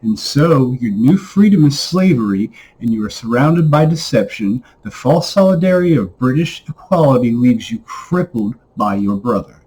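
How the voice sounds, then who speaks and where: A man with a husky voice giving a solemn, declamatory recitation of an old text, phrased deliberately. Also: medium pitch, animated, dark tone, low-quality recording. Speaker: reader.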